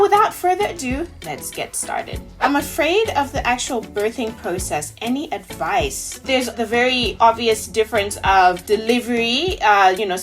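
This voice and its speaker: A woman's voice: high-pitched, fairly bright tone. A woman in her thirties from Tanzania, chatting earnestly and casually to her vlog audience. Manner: earnest, chatty, many "you know"s. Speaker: vlogger.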